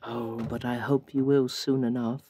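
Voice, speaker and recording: A man voicing a dazed, gentle injured woman sailor in a roleplaying game, speaking in a dreamy, faltering way. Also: medium pitch, flat delivery, measured pace, slight background noise, lightly acted. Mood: hopeful.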